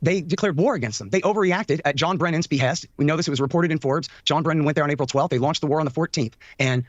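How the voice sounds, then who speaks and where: A man from Texas arguing insistently in a debate, talking rapidly over others. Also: a little high, dry recording, lo-fi sound. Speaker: debate guest.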